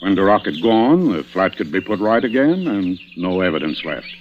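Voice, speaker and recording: A man playing a shrewd, dry, commanding police inspector in old-time radio mystery style, deliberate and sardonic in his questioning. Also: deep voice, big pitch swings. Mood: explaining, assured. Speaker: radio actor. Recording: muffled, hissy old radio recording.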